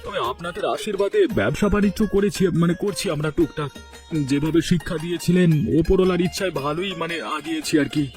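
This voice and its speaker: A man voicing a stubborn, penny-pinching village father in a cartoon, blunt and quick to bluster. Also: sits high, fairly even intonation, soft background music, close to an everyday voice. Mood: eager. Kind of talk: cartoon voice acting.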